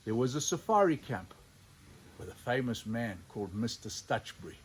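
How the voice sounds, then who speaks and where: A man's voice: mid-range voice, big pitch swings, slightly rough. Theatrical man telling stories. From Zimbabwe. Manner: theatrical, with sound effects and dramatic pauses. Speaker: storyteller, sculptor presenting his own elephant figures. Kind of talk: animated unscripted storytelling.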